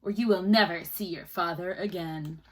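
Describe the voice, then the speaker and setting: A woman voicing a greedy, harsh fairy-tale king in a storybook read-aloud, speaking in slow, commanding threats. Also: on the low side, pitched below the performer's own voice, natural voice, low-quality recording. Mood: stern, threatening.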